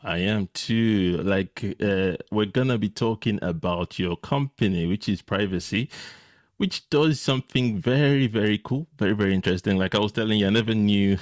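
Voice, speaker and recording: A man's voice: lowish voice, normal speed, no echo, studio quality. A man hosting a casual interview podcast, informal and agreeable in his way of talking. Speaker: podcast host.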